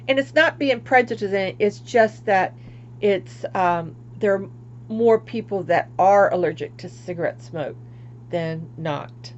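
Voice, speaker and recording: An older woman chats on a home craft livestream at a middling pitch. She rambles, laughs at herself and often trails off into quiet asides. The sound is slightly dull, with a faint hum underneath. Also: slow pace. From Florida. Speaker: craft vlogger. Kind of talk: casual livestream chat.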